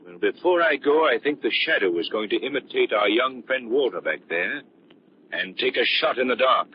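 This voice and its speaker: An actor playing a calm, mocking, menacing vigilante with a measured, ominous delivery in 1930s radio mystery style. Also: male voice, mid-range voice, close to an everyday voice. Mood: calm resolve. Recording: muffled, lightly noisy 1930s radio recording.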